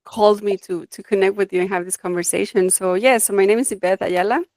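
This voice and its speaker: A woman's voice: mid-range voice, fairly even intonation, fast, darkish tone, no room sound. Reflective woman from Mexico, dry sound. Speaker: guest, life design coach. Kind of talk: conversational interview, personal storytelling.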